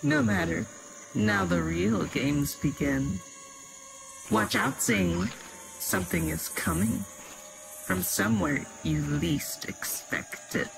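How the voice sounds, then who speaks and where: A man voicing a sneering, theatrical game villain with a croaky voice and a drawn-out, mocking, ominous delivery. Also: high-pitched, expressive, music in the background, exaggerated voice, low-quality recording. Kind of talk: video game cutscene voice acting.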